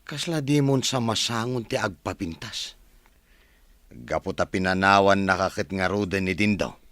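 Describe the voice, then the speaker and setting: An actor playing a crude, leering, mocking flirt in a Filipino radio drama. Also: male voice, low-pitched, normal speed, a bit bright, no echo.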